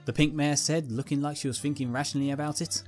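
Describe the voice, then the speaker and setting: A woman's voice: low-pitched, fairly even intonation, fast talker, darkish tone, faint music behind. A woman narrating a scripted fan fiction story as its reader.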